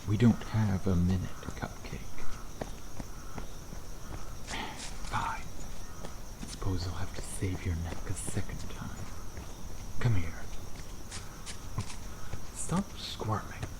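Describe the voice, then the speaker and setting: Man playing a low-voiced teasing vampire, crickets behind. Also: slow, long pauses. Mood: teasing, smug. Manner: sardonic, playful banter with pet-name teasing. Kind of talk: ASMR roleplay acting, addressing the listener. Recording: noisy outdoor ambience with crickets.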